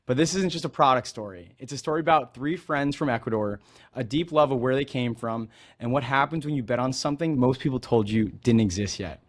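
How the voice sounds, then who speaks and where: A man's voice: mid-range voice, brisk. A man hosting a business podcast, reading an upbeat scripted intro and then chatting in a friendly, loose way with his guest. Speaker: podcast host.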